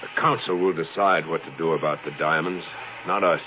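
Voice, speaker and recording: A very low male voice plays a cautious, high-minded space explorer. He reasons and lectures his partner in classic 1950s radio drama manner. The recording is dull and old, like a vintage broadcast. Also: fairly even intonation, fairly quick, background noise. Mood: stern, earnest.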